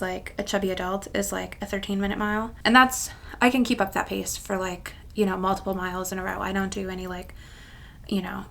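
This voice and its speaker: A woman's voice: medium pitch, vocal fry, a bit bright, a little hiss or hum. A woman of about thirty-one talking casually to her viewers in a rambling, self-deprecating way. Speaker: craft channel vlogger. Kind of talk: casual chatty vlog to camera.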